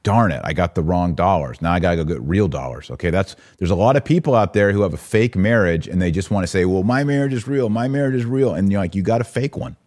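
A man's voice: low-pitched, quick pace, few pauses, a little mellow. An American man hosting a live-stream, talking conversationally and with opinion as he answers comments and poses rhetorical questions. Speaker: podcast host and religious commentator.

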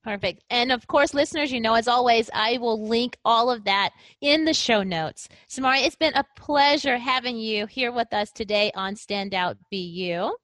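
Woman host, bright higher voice, dry close sound, cheerful and affirming. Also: normal speed, hardly any pauses, dry recording. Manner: warm, enthusiastic, affirming. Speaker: podcast host. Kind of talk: interview hosting. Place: lives in Guam.

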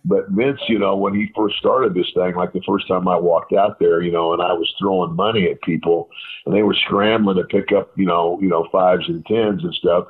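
A man with a deep voice telling rambling stories as an interview guest, full of fillers and quoted dialogue. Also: steady tone, moderate pace, slightly rough. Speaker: guest, retired wrestler. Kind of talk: casual storytelling interview. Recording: tinny phone line.

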